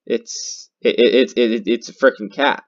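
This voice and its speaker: A man reading horror game text aloud in a theatrical, halting way, repeating words and adding his own comments. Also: medium pitch, steady tone. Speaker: gaming video host. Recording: dull lo-fi sound with loud music. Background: loud guitar music.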